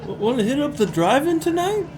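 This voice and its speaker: A man's voice: extremely high pitch, sing-song, brisk, crowd noise. A man playing an awkward young college friend with a crush, speaking eagerly and hesitantly in an audio drama.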